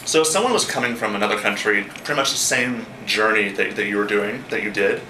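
A young man interviewing casually, upbeat, asking questions packed with "like". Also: fairly low pitch. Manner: upbeat, quick questions with many "like"s. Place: cafe-like room, indoors. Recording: echoey room with faint noise.

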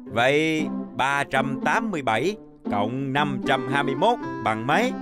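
A man voicing a patient older male chalk-stick schoolteacher in a children's cartoon, measured and instructive. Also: medium pitch, big pitch swings, loud music behind the voice, natural voice. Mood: instructing. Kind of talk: animated children's cartoon dialogue.

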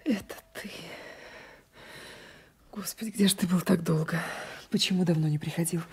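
An actress playing a defiant, lovestruck young peasant wife in a radio play, breathy and fervent, often dropping to a whisper. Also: female voice, fairly low pitch, steady tone, moderate pace, a little mellow. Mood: tender, fervent. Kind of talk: 1980s radio play acting.

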